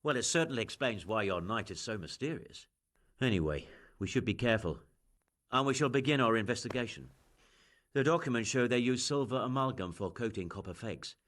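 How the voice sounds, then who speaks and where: An actor playing a shrewd, learned official in a video game, musing and authoritative. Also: male voice, mid-range voice, lively intonation, fairly quick, a bit bright, close to an everyday voice. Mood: brisk, authoritative. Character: learned, shrewd male official leading an investigation.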